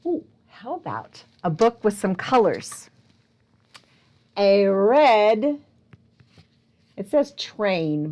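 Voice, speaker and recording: A woman hosts a storytime for young children in a medium-pitched voice that swings widely up and down. She takes her time over the words, playfully asking questions and wondering aloud with exaggerated exclamations. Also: a little mellow. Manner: playful, prompting listeners with questions. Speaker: children's librarian and storytime host. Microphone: speaking close to a camera.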